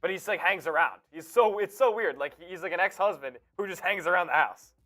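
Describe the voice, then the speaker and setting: A man's voice: high-pitched, animated, fairly quick, sharp tone, faint music behind. A man co-hosting a stream, chatting casually with a nasal, congested voice, rambling and joking with many restarts. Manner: rambling, joking, self-interrupting. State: congested from allergies. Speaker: co-host. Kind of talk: casual chat.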